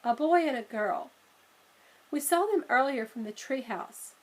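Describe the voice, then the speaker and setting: A practical, careful young storybook boy, read by a woman. The voice is fairly high and unhurried, questioning and a little hesitant. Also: expressive, poor audio. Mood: wary, puzzled.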